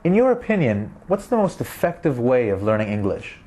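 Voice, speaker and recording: A man's voice: medium pitch, very animated, fairly quick, dark tone. A man asking prepared questions as an interviewer.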